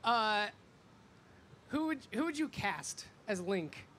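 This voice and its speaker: A man's voice: high-pitched, sing-song, slow, sharp tone. A man interviewing casually in fan-like chat, with informal phrasing full of filler "like". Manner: informal, peppers speech with "like". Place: fan convention event. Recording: noisy live event with background ambience.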